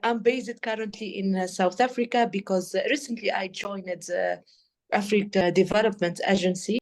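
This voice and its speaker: A woman with a Tunisian accent, a native Arabic speaker, answering interview questions as an expert in earnest, emphatic and halting non-native English. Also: medium pitch, fairly even intonation. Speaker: AI policy expert, podcast guest.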